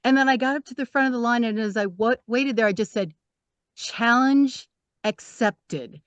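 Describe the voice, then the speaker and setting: A woman's voice: a little high, normal speed, smooth, dry recording. A woman telling a casual everyday anecdote in a breezy, self-amused way. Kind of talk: casual storytelling.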